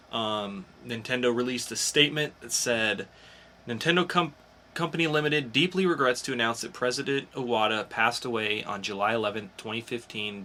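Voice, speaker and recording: A man's voice: medium pitch, bright, forceful tone, a little hiss or hum. A man hosting a podcast, talking casually about geek news in an informal, explanatory way with some swearing. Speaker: podcast host.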